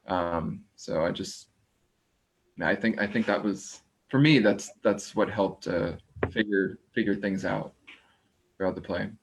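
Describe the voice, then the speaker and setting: A man talking casually as an actor on a discussion panel, searching haltingly for words. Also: deep voice. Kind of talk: casual post-show talk.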